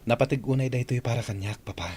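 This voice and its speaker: A man's voice: mid-range voice, quick pace, a little mellow, breathy edge, lightly acted. A man playing an earnest, respectful grown son in a radio drama, speaking in a heartfelt way. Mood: respectful, pleading.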